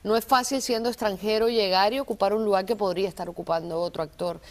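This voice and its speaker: A woman from Cuba, an actress, chatting in an interview about her career, thoughtful and unhurried, with many small pauses. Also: mid-range voice, steady tone. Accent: neutral Colombian-trained Spanish with Cuban traces. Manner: warm, reflective, many fillers and pauses. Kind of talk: casual interview talk.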